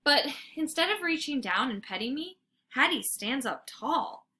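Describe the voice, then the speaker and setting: A woman reading a children's story aloud like a teacher, with dramatic, expressive narration. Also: high-pitched, measured pace. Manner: dramatic, animated.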